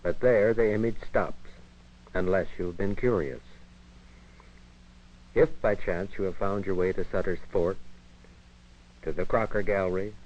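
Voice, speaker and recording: Low-voiced American 1950s film narrator, male. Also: a little mellow. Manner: measured, formal, literary phrasing. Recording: dull 1958 film soundtrack, radio-like.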